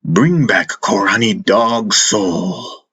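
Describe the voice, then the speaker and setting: Man playing a gruff, curt mountain warrior. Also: on the low side, lively intonation, pushed and bright, heavily acted. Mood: gruffly urging. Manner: halting broken phrases, curt commands. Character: hostile mountain warrior, gruff, broken speech, later grudgingly helpful. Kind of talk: dramatised fantasy audiobook.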